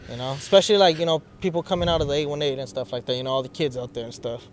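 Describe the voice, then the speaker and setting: A man from the San Fernando Valley hosts a podcast outdoors, talking quickly and with excited street slang. His voice sits on the higher side, and faint traffic hums behind him. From San Fernando Valley, California. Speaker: podcast host. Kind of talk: casual interview chat. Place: outdoors in front of a theater.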